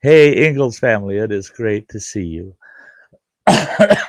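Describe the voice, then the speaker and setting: A hoarse older man hosts a relaxed live stream, his low voice rough and gravelly. He talks slowly and haltingly, wandering between thoughts with plenty of fillers, recorded dry and close. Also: no room sound. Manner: halting, rambling, many fillers. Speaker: livestream host. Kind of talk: casual live stream chat.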